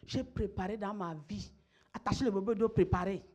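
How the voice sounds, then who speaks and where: A woman's voice: medium pitch, steady tone, a little fast, a little mellow, breathy edge. A middle-aged woman pastor, preaching emphatically, repeating phrases and calling on her listeners to respond. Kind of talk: sermon.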